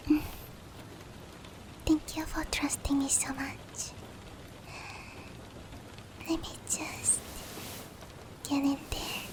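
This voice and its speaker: A woman voicing a gentle, caring female demon in an intimate roleplay ASMR, whispering softly and breathily to comfort a human. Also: sits high. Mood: concerned, reassuring, then tender. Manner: slow, tender, reassuring. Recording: steady rain noise behind. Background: rain.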